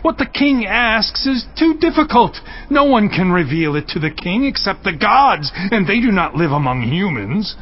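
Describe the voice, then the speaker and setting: Obsequious male court astrologer, high and animated, pleading theatrically in a scripture audio drama. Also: moderate pace, hardly any pauses, highs cut off. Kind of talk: dramatized audio Bible acting.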